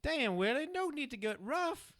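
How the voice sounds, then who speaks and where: A man playing a streetwise, softer-hearted vagrant in an audio drama, talking in excitable slang. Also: very high voice, normal speed, very noisy. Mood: protective. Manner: slangy, exclamatory.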